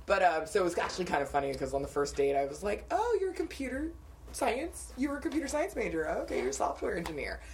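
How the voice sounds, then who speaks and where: A young woman co-hosting a podcast, telling dating stories in a casual, animated way and laughing often. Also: mid-range voice, fast, noisy background. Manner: animated storytelling with frequent laughter. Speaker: podcast co-host. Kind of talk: casual chat.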